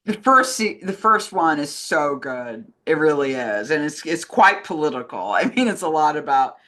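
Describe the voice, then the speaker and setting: A woman's voice: sits low, very animated, normal speed, fairly bright tone. A woman co-hosting a casual, conversational chat about films and television. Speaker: co-host, communications director.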